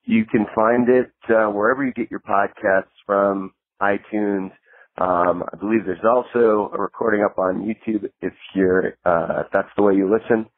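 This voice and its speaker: Tinny phone-like recording of a lowish-voiced man. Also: steady tone, moderate pace, no echo. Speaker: podcast listener giving a plug. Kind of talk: casual self-promotional message. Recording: tinny band-limited phone-like sound, dry.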